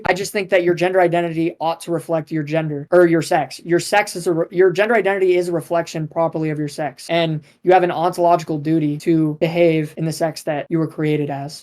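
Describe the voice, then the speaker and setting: Young man, fairly high voice, argumentative, insistent, online voice chat. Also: darkish tone. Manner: argumentative, insistent, repeats himself. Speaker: debate guest. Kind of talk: heated debate.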